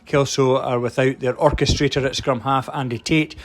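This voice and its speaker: A man's voice: mid-range voice, fairly even intonation, normal speed. A man delivering a scripted match preview as a radio sports reporter.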